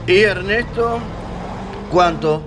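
A man's voice: high-pitched, slow, over music. A young man playing an impatient, sulky troupe actor in a radio drama, insistent and complaining, with an Argentine accent. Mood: grumbling.

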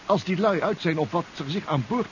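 Male actor as hesitant submarine professor, courteous, stammering, animated intonation, old dull radio recording. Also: a little high, a little fast, a little hiss or hum, natural voice. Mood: nervous. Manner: stammering, polite, fussy. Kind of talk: 1970s Dutch science-fiction radio play acting.

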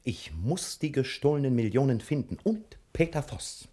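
A man's voice: medium pitch, very animated, moderate pace, mellow, close to an everyday voice. A man playing a self-important, wily American private detective in a theatrical, condescending manner, in a 1960 radio crime drama. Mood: self-important, insistent.

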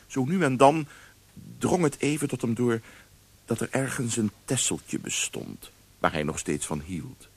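A man's voice: mid-range voice, very animated, unhurried, breathy edge, no echo. A man narrating a scripted radio play as a storyteller.

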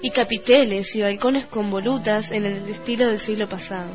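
A woman's voice: mid-range voice, fairly even intonation, moderate pace, close to an everyday voice. A woman playing a knowing, scornful townswoman with an Argentine accent, gossiping conversationally in a radio play. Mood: scornful, gossipy. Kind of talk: radio play literary narration. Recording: dull radio recording with music behind. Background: music.

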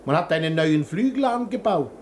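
An actor in a German radio play playing a chatty, flattering hairdresser from Lyon, effusive and obsequious. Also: male voice, a little high, brisk, a little hiss or hum, close to an everyday voice. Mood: startled, backpedalling.

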